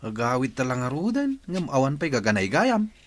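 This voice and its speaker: A man's voice: medium pitch, big pitch swings, normal speed, close to an everyday voice. An actor with an Ilocano accent playing a friendly, prying male relative in a radio drama. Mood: teasing, advising.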